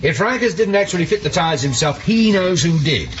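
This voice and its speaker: An actor playing a dogged, sceptical police inspector in a 1970s radio drama, probing and blunt with dry defiance. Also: male voice, sits high, lively intonation, brisk. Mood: determined. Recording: dull, noisy old radio recording.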